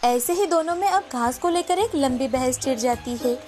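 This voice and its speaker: High-pitched woman storyteller over music. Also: animated, normal speed, a little mellow. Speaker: narrator. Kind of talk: scripted storytelling narration of children's moral tales.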